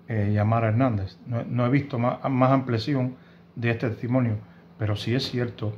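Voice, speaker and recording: A man's voice: mid-range voice, dull audio, a little hiss or hum. Indignant Cuban man commenting to camera. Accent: Cuban Spanish. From Cuba. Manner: earnest and indignant, with frequent hesitations and restarts. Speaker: host of a news commentary channel. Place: home room with bookshelves, indoors.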